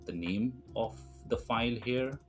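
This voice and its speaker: A man presenting a screen-recorded software tutorial, explaining things informally step by step. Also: medium pitch, a little slow, faint music behind.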